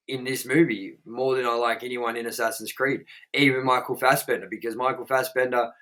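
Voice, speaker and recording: A man's voice: medium pitch, a bit bright, rough recording. A man with an Australian accent, co-hosting a movie review chat, blunt and profane, rambling with lots of fillers.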